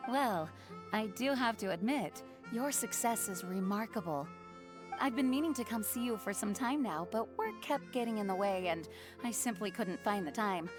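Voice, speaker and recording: A woman's voice: medium pitch, expressive, moderate pace, over music, close to an everyday voice. A woman playing an earnest, courteous knight commander in a video game, formal and composed. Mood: sincere. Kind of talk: video game character acting.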